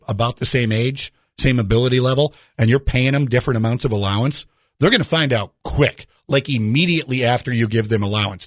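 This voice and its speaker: A man's voice: mid-range voice, no room sound, clean studio sound. A man hosting a radio show with a jokey conversational monologue, putting rhetorical questions straight to the listener.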